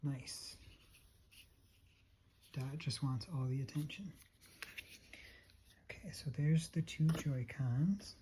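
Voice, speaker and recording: A hoarse-voiced vlogger talking casually and a bit hesitantly through a product unboxing. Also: mid-range voice, slow pace, long pauses, mellow, a little hiss or hum. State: hoarse, losing voice. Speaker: unboxing video host.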